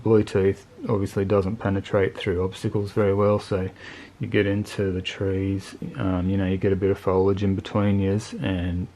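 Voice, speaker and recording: A man's voice: deep voice, level tone, moderate pace, hardly any pauses, mellow. A man giving a casual, unscripted product review in a laid-back, colloquial, plain-spoken way. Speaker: motorcycle video channel host reviewing gear.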